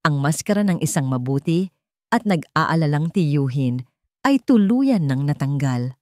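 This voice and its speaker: A woman storyteller reading scripted dramatic fiction in a solemn, suspenseful way with deliberate phrasing. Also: deep voice, lively intonation, mellow, no echo, studio quality.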